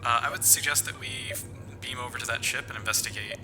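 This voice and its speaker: A man's voice: mid-range voice, fast, over music. A man playing a tabletop role-playing game, making suggestions in casual, improvised chat.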